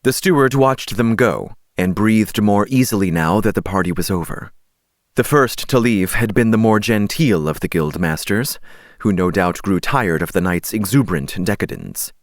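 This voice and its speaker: A man's voice: fairly low pitch, dry recording, studio quality. A man reading scripted third-person narration for a fantasy audiobook.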